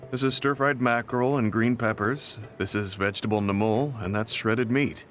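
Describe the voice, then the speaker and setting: Game music plays under an actor as a weary veteran soldier. He is a man with a lowish voice, humble and full of self-reproach, speaking earnestly and with measured restraint. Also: steady tone, fairly bright tone, natural voice. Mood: humble, gentle. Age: older. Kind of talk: video game English voice acting.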